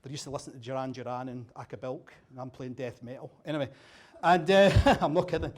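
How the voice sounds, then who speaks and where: A man's voice: medium pitch, fairly even intonation, quick pace. A Scottish man giving a humorous after-dinner talk, chatty and jokey, quizzing the audience. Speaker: keynote speaker. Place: dinner venue with a bar.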